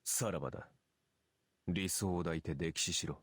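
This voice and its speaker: An actor playing a cold, scornful warrior spirit in a Japanese visual novel, with a measured, contemptuous delivery over game music. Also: male voice, sits low, slightly rough, no room sound. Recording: loud music behind the voice. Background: loud game music.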